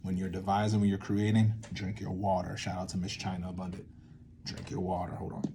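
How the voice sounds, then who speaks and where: A man's voice: deep voice, completely flat delivery, a little fast, dark tone, slight background noise. A man giving an informal, improvised tutorial, rambling playfully and thinking aloud with asides to himself. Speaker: theatre maker presenting a creative method series.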